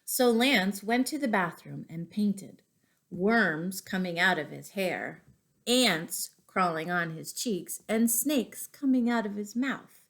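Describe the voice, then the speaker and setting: Female story-time teacher, medium pitch, unhurried and expressive, reading aloud for small children. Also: vocal fry. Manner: expressive, deliberate storyteller addressing young children. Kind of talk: children's read-aloud of poems and picture books.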